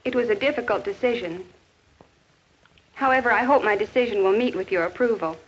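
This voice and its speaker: Earnest 1950s film schoolteacher played by an actress, muffled soundtrack. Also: female voice, mid-range voice, brisk, slightly rough, natural voice. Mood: formal, composed. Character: earnest, caring female high school teacher. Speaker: actor in an educational film. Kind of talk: 1950s educational film acting with inner-thought narration.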